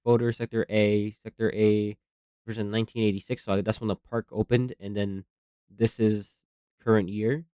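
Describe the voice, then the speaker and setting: A man giving casual, rambling commentary as a host, slangy, repeating himself and thinking out loud. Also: lowish voice, flat delivery, normal speed, clean tone, no echo. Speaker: theory video host reacting to horror series.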